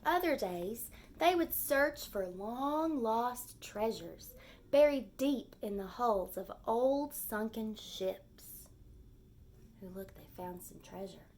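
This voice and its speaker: A woman teacher speaking to young children in simple, clearly phrased language, as in a storytime lesson with reading aloud and explaining. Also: on the high side, expressive, takes it slowly, darkish tone, a little hiss or hum.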